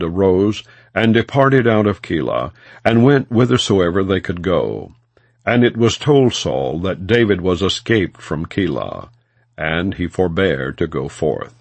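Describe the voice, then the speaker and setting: A man with a low voice narrates scripture in a formal, measured way. His pitch moves expressively through each phrase, yet he reads plainly as a narrator without acting out parts. The recording is close and dry, with no room sound. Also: darkish tone, no echo. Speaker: audiobook Bible reader. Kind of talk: non-dramatized scripture narration.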